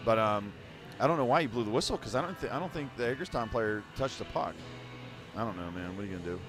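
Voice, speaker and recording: A man's voice: mid-range voice, animated, fast, music in the background. A man giving casual, rambling color commentary at a youth hockey game from the stands. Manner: rambling, restarts, laid-back analysis. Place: rink stands.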